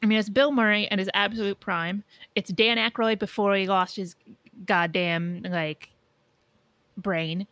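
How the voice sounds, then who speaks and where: A woman's voice: medium pitch, steady tone, a little slow, a bit bright, no room sound. A woman co-hosting a podcast, debating films casually in a blunt, argumentative, sweary way. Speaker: podcast co-host.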